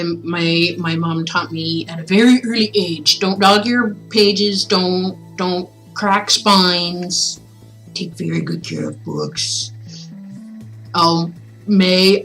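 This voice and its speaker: A woman livestreaming in a chatty, expressive way, as a host who reads aloud and talks with viewers. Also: lowish voice, low-quality recording. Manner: chatty, expressive, breaks off into asides. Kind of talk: casual livestream chat with storybook reading aloud. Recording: music playing behind the voice. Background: background music.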